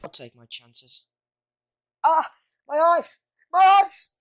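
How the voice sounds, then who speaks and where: A woman's voice: very high voice, animated, slow pace, exaggerated voice. A woman playing a plucky, teasing young boy wizard in an audio drama, with a dryly joking manner. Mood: mock alarm.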